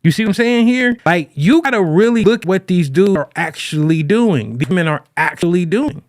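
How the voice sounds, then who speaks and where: A man hosting a podcast, talking casually as a relationship coach, animated and often restarting his phrases. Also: sits high, normal speed, no echo, clean studio sound. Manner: animated, quotes people in raised voices. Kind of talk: casual monologue.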